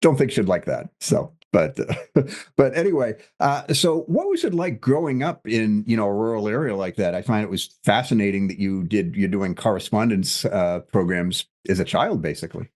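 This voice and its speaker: A male interview host with a somewhat low voice. He talks in a chatty, joking way and lets his questions ramble loosely. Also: animated, normal speed, darkish tone. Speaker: podcast host. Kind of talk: interview hosting.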